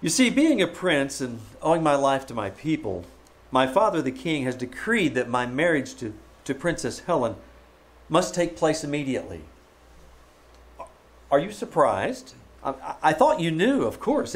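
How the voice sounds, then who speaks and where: A man's voice: fairly high pitch, sing-song, moderate pace. Man acting a tender, courtly young prince, swooping intonation. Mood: gentle, awkward. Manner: gentle and courtly, with hesitant, stammered restarts. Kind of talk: old-time radio drama acting, live stage performance.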